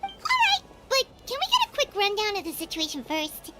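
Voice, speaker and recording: A woman voicing a small, excitable fairy companion with a chirpy, childlike manner in an anime video game. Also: very high-pitched, normal speed, exaggerated voice. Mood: surprised, excited. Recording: game music under the voice.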